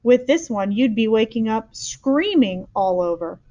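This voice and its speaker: A woman's voice: fairly high pitch, animated, a little slow, dark tone. A woman voicing a big, friendly old male giant who mangles his grammar and invents words, in a dull lo-fi recording. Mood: fierce.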